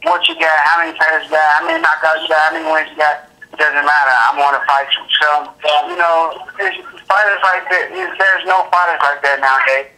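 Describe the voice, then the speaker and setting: A man's voice: a little high, animated, a little fast, pushed and bright, rough recording. A man in his twenties, a boxer guest on a phone interview, talking bluntly with lots of fillers and repeats. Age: 20s. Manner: blunt, repetitive, many fillers.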